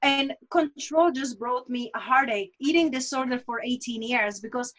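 Woman, high voice, earnest and reflective, keeps saying right? and you know. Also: normal speed, fairly bright tone. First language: Czech. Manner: earnest, reflective, with rhetorical asides. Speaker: guest. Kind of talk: candid personal conversation.

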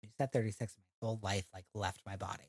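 A woman's voice: very deep voice, fairly even intonation, fast, darkish tone, husky. A woman in her thirties co-hosting a podcast, ranting about reality TV with lots of swearing and acted-out quotes. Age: 30s. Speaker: podcast co-host. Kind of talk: casual reality-TV recap chat.

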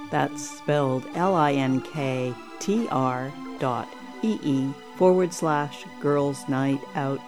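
A woman hosting a podcast, talking casually with a guest as the interviewer. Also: low-pitched.

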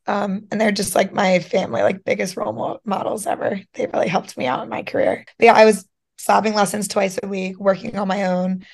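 A young woman with a slightly hoarse voice talks casually as a podcast guest. She is chatty and loose, scattering "like" and "you know" through her sentences. Her pitch sits in the middle range for a woman. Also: little pitch movement, fairly quick. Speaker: guest, professional softball player. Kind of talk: casual interview chat.

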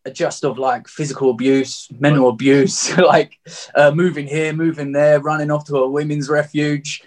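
A man's voice: a little high, flat delivery, moderate pace. A man of thirty with a southeast English accent, telling his personal story openly and reflectively as an interview guest. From Margate, England.

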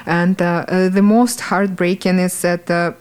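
A woman's voice: fairly low pitch, level tone, darkish tone, clean tone. Earnest woman with a Ukrainian accent. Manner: earnest, halting, many fillers and restarts. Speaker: art teacher, guest. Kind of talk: interview answers. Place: podcast studio.

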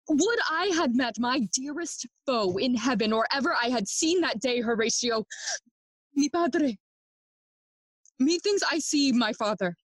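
A woman's voice: fairly high pitch, dry recording. A woman playing a grieving, bitter young prince in a bilingual Shakespearean audio play, theatrical and impassioned, moving between English and Spanish. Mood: bitter, mournful.

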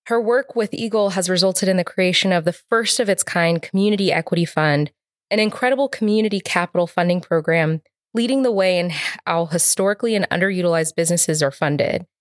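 A woman's voice: mid-range voice, a little fast, no echo, clean studio sound. A woman co-hosting a talk podcast, introducing guests and responding in conversation. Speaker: podcast co-host.

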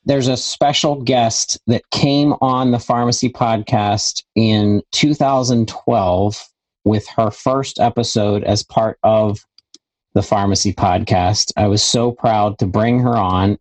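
A man's voice: on the low side, darkish tone, low-quality recording. Enthusiastic male podcast host. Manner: enthusiastic, welcoming. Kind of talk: casual interview hosting.

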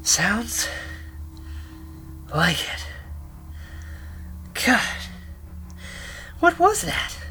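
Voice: medium pitch, huge pitch swings, very slow, pauses often, bright, forceful tone, exaggerated voice. An actor playing a nervous, inquisitive explorer in a sci-fi radio drama, with a hesitant, questioning manner. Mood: shaken. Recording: music behind the voice.

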